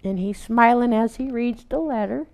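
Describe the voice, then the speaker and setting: Woman, storytime reader, higher-pitched, clear smooth tone with some creak, gentle delivery. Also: moderate pace, low-quality recording. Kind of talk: reading a children's picture book aloud with casual asides.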